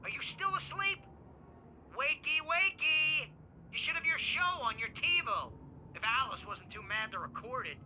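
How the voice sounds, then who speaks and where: Pushy, high-voiced agent on voicemail. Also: male voice, expressive, measured pace, heavily acted. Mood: teasing. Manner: loud, wheedling, over-familiar. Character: pushy, chummy male agent and friend leaving a voicemail. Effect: telephone answering-machine playback. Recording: noisy answering-machine message, low rumble.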